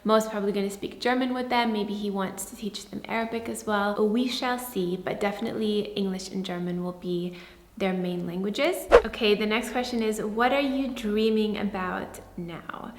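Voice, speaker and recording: Young woman, Swedish-born, native Swedish speaker, soft earnest chat, echoey room, long reverb tail. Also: mid-range voice, steady tone, moderate pace, noisy background. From Sweden. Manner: soft, earnest, often says "like". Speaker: vlogger answering viewer questions. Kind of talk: casual Q&A to camera.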